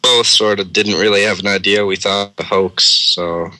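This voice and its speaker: Male co-host, very deep but bright and forceful, telling an improvised story casually. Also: fairly even intonation, moderate pace.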